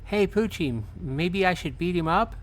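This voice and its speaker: A man voicing a sharp-tongued, dismissive young woman who coos to her dog, from a visual novel game. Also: sits high, expressive, measured pace, voice pushed up. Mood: scornful.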